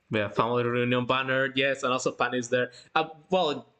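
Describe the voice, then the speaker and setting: A livestream host chatting in an excited, gushing, giggly way while reacting to a game. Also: medium pitch, moderate pace, clean tone. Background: game music.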